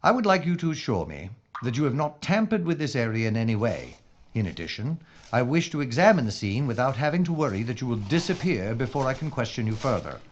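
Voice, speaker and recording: An actor playing a brusque, dogged London police inspector in a period audio drama, British, with a clipped, commanding yet formally polite manner. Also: male voice, mid-range voice, very animated, fast, highs cut off. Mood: measured.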